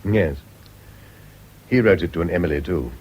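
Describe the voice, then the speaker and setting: An actor playing a brooding, sharp-tongued wealthy gentleman in a radio drama, with a theatrical, clipped, intense delivery. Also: low voice, moderate pace, slightly rough. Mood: subdued, wistful. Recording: faintly noisy radio drama recording.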